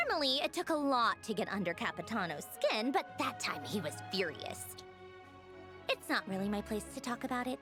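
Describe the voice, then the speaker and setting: An actress voices a self-assured woman in a video game, teasing and knowing. Her delivery is conversational and very lively, rising and dipping as she shares what she knows, with faint music underneath. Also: medium pitch, close to an everyday voice. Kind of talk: video game dialogue acting.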